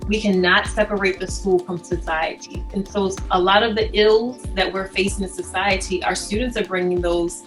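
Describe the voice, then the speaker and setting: Measured woman, school administrator. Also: medium pitch, fairly even intonation, faint music behind. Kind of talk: interview.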